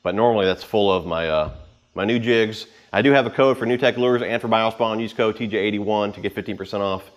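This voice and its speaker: A man in his thirties giving a casual, unscripted walkthrough to camera in an informal, rambling way, with pauses as he handles gear. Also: on the low side, muffled recording. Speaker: vlogger giving a boat tour. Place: home garage with the door open.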